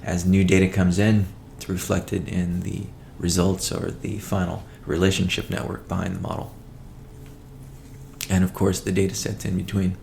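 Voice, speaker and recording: A man presenting a company update as a chief executive, in a measured, matter-of-fact way with halting phrasing. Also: low voice, little pitch movement, gravelly, a little mellow, slight background noise. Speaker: company CEO hosting a weekly call. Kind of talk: business update presentation, partly read from notes.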